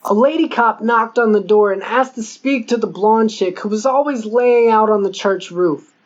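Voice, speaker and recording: A woman's voice: a little high, moderate pace. A woman reading a first-person novel aloud as an audiobook narrator. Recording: dull lo-fi audio.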